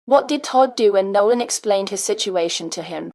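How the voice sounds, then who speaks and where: A woman reading scripted comprehension narration for English learners in a measured, articulate manner. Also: medium pitch, animated, a little mellow, dry recording. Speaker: question-and-answer presenter for English learners. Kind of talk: scripted question-and-answer narration.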